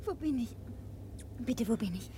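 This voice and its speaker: A woman's voice: fairly high pitch, lively intonation, normal speed, noisy background, natural voice. An actress playing a charming, poised married lady in a German radio drama, speaking politely and soothingly. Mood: drowsy, curious.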